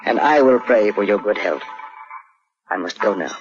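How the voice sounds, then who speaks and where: A man's voice: fairly low pitch, expressive, moderate pace, noisy background, close to an everyday voice. An actor playing a grave, stately lord and father with a heavy heart, in an old radio drama. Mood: grave, concerned. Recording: dull vintage radio recording, music behind.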